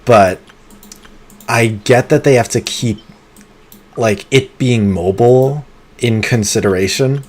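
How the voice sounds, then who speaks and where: A man streaming a game live, talking through it in an excitable, competitive way, with sudden gasps and pleading. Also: medium pitch, slow pace, long pauses. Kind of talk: live gameplay commentary.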